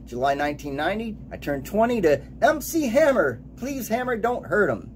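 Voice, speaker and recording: A man in his late forties giving an upbeat radio DJ countdown, announcing titles and years in a lively way. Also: high voice, moderate pace, a little hiss or hum. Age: late 40s.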